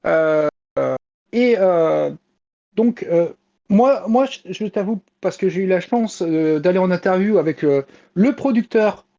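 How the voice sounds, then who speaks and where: A man's voice: high-pitched, expressive, unhurried, vocal fry, mellow. A man chatting casually as a panel commentator, hesitant and often restarting, heard on a dull lo-fi recording. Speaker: panel commentator on a geek culture show. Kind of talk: casual chat.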